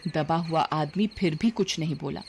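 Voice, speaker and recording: A woman narrating a scripted story in an expressive storytelling manner. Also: low voice, moderate pace, slight background noise.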